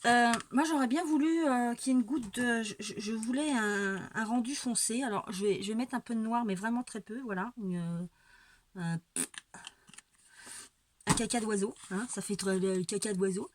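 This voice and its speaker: A woman with a stuffy nose from a cold chats her way through a painting demo, her voice sitting a little high. She stumbles and repeats words, tossing in dry little jokes as she goes. The home recording carries steady background noise, with a dog barking now and then. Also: normal speed. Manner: rambling and hesitant, with repeated words and wry asides. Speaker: amateur painter showing her technique. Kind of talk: casual hands-on painting demonstration.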